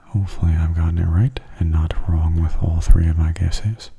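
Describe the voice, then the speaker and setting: Very hushed, husky low-voiced man. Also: steady tone. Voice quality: hushed, soft voice slipping into whispers. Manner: gentle, unhurried, casual musing. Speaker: gameplay video host. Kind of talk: soft-spoken ASMR game commentary.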